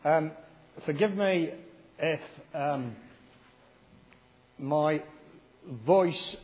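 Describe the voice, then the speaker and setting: Croaky preacher in a reverberant church. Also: male voice, a little high, extremely slow. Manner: chatty, joking preacher leading the congregation in reading a verse aloud. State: croaky from a cold. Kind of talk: sermon. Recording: dull sound with long hall reverb.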